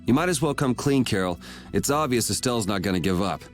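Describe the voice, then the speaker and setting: A man's voice: medium pitch, lively intonation, quick pace, soft background music, close to an everyday voice. A man voicing a cool, sardonic young swordsman in a video game, laid-back, wry and teasing. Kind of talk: English video game dub acting.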